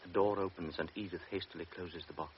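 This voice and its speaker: Deep-voiced male radio drama narrator. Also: fairly even intonation. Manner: measured, present-tense storytelling. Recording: dull old radio recording with faint hiss.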